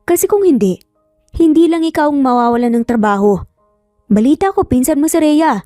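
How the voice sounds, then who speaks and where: A woman's voice: sits high, animated, fairly quick, mellow, dry recording, clean studio sound, pitched above the performer's own voice. Woman playing bossy rich boss man, curt, commanding, dismissive, romance audio drama, faint music behind. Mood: impatient, bossy.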